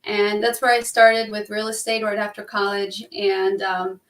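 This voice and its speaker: A woman's voice: mid-range voice, completely flat delivery, unhurried. A young woman from Albania, a guest on a talk show, chatting in a friendly, easygoing way. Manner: friendly, chatty, laughs easily. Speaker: guest, real estate investor. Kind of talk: casual interview chat. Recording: distant, roomy remote call audio.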